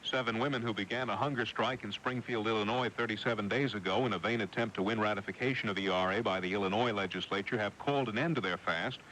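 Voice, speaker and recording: A man's voice: lowish voice, fairly bright tone. An American man anchoring a radio newscast, reading scripted news stories. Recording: noisy 1980s AM radio broadcast.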